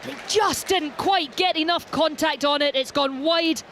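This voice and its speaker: A woman giving play-by-play commentary on a live football match, rising to shouts when the ball reaches the goalmouth. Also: high voice, measured pace. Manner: rises to shouts at goalmouth action. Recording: noisy background.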